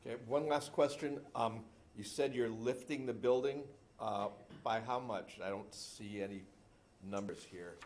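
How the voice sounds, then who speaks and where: A man's voice: mid-range voice, measured pace. A man on a town planning board, politely asking an applicant questions. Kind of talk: questioning at a public meeting.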